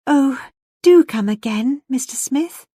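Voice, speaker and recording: Lady reader doing a young miss in an audiobook, high voice, pouty, coaxing, pushy, dry close sound. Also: animated, slow, warm tone, breathy edge, no echo, exaggerated voice. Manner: importunate, emotional pleading. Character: petulant, coaxing young lady, pretty, proud and insistent.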